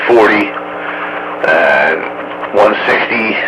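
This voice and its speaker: Low-voiced man over noisy radio. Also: animated, extremely slow. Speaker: knowledgeable radio hobbyist explaining bands. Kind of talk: casual explanatory radio chat. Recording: noisy two-way radio audio.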